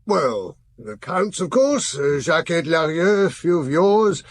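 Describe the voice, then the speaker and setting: Cop reporting to his boss in a radio play, kinda high voice, businesslike and respectful, very dry sound. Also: very animated, a little slow, no room sound, exaggerated voice. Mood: matter-of-fact. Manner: matter-of-fact, respectful reporting. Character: businesslike, deferential male police forensic officer. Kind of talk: radio drama acting.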